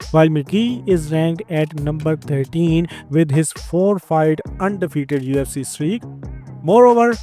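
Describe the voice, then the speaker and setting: Indian-accented male news host, higher voice, brisk and opinionated, faint music underneath. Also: mellow. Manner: brisk, opinionated, playful asides. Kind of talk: scripted news narration.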